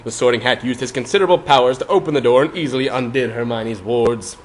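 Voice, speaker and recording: A man's voice: mid-range voice, quick pace, a little hiss or hum. A man reading a scripted story as narrator, in a theatrical, mock-serious style. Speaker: narrator of a fan fiction reading.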